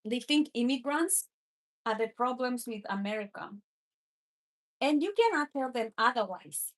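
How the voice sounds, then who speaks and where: A woman's voice: on the high side, lively intonation, measured pace. A young woman from Kenya with a Kenyan accent, hosting a casual political livestream, mocking and laughing as she answers chat. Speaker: livestream host.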